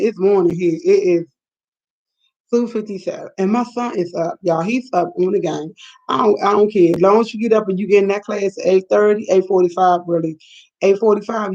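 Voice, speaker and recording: A woman's voice: medium pitch, brisk, mellow. A woman, rambling through a late-night live chat and reacting to viewers' comments. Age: 50s. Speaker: livestream host. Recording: dull lo-fi sound.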